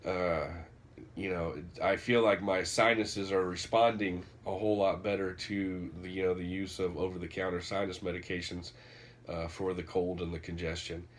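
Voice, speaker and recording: Man, nasal and congested, lowish voice, rambling casual vlog talk. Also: level tone, moderate pace, slight background noise. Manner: rambling, many fillers and restarts. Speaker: vlogger reviewing a book.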